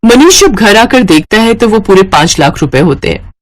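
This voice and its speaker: A narrator reading a scripted moral story in an expressive storytelling style. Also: medium pitch, normal speed.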